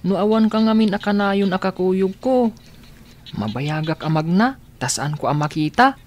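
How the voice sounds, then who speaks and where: A woman's voice: medium pitch, animated, moderate pace, a little mellow, close to an everyday voice. A woman playing an earnest, doubtful blind young boy in a radio drama, speaking plaintively. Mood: troubled, doubtful.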